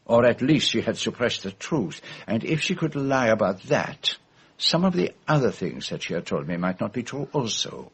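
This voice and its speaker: An actor playing a fussy, shrewd foreign gentleman detective, speaking in precise, formal phrases in a radio drama. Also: mid-range voice, husky, full character voice. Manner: precise, theatrical, formal phrasing with French forms of address.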